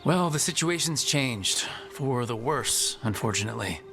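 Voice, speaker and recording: A man's voice: mid-range voice, expressive, moderate pace, fairly bright tone, soft background music. Level-headed young male game hero. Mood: grave, dry. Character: level-headed young male adventurer leading a rescue party. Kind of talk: video game hero acting.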